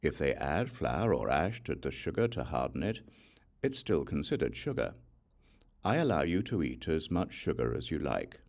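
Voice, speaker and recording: A man narrating plays an authoritative male spiritual teacher from an audiobook scripture. The voice is very deep and creaky, with wide rises and falls, and the delivery is formal, stern and deliberate. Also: very animated, darkish tone, exaggerated voice. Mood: granting, instructive. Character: the Buddha, authoritative male teacher laying down rules.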